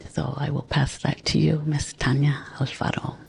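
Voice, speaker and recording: A woman co-hosting a podcast, giving a slow, respectful introduction with gentle, measured phrasing and the odd Spanish word mixed in. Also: deep voice, flat delivery, dry recording. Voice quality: gravelly, husky. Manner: drops Spanish words into English. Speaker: podcast co-host.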